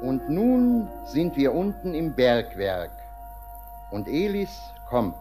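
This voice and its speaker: A man's voice: medium pitch, sing-song, slow, over music. A man narrating a radio drama, setting the scene as a scripted narrator.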